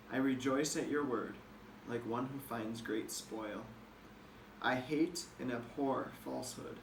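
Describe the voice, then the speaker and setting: A man's voice: medium pitch, creaky. A man reading scripture aloud, recorded in a distant, echoey room with some noise. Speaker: Bible reader.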